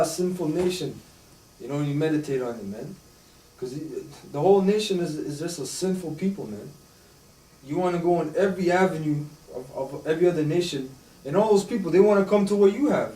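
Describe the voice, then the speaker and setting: A man's voice: on the high side, brisk, warm tone. A man in a casual religious discussion, emphatic and forever tacking "man" onto his sentences. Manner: emphatic, repeats "man" often. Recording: echoey room from far off with faint noise.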